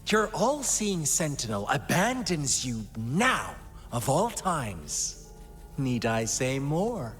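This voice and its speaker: An actor playing a sinister, mocking cult overseer in a video game, speaking in a theatrical, smugly courteous way. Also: male voice, medium pitch, very animated, slow, a bit bright, long reverb tail, soft background music. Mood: gloating. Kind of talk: dramatic video game voice acting.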